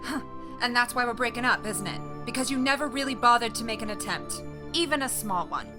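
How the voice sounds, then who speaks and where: A woman's voice: on the high side, a little fast, music in the background, natural voice. A woman acting an exasperated, needling wife in an audio drama, sarcastic in manner. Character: exasperated, nagging wife in a failing marriage.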